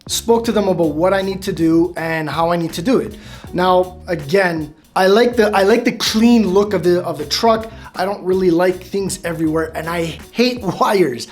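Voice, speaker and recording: A man in his thirties casually explaining a truck radio install to camera, rambling with restarts and small laughs. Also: sits high. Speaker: vlogger. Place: dim room at home, bike behind. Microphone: camera close up, facing it.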